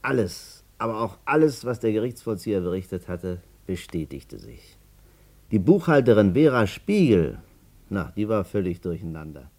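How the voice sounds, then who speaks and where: A man's voice: on the low side, sing-song, moderate pace, darkish tone. A man playing a narrator who recounts his own misfortune emphatically in a German radio drama. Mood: grave, emphatic. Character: man recounting his ruin to listeners, expressive storyteller.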